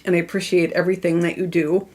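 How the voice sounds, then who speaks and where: A woman's voice: fairly low pitch, level tone, quick pace, dark tone. Congested, chatty woman craft host. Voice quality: voice not strong, cough drop in mouth. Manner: chatty and friendly, many fillers, laughs at herself. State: congested from a cold. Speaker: craft demonstrator hosting a live stream. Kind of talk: casual live craft tutorial chat. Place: home craft room.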